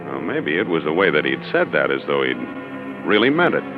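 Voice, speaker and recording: Suspicious, nervy hunter, deep bass register, deliberate old radio thriller acting, muffled sound. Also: male voice, sing-song, music in the background. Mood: anxious, suspicious. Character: uneasy, suspicious hunter narrating his own peril. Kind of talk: 1940s radio acting, first-person narration. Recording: dull old 1940s radio recording.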